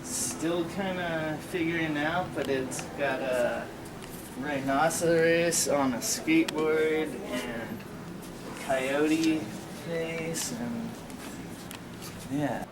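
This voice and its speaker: A man's voice: fairly high pitch, extremely slow, far from the mic, noisy background. A man casually showing his artwork, hesitant and offhand.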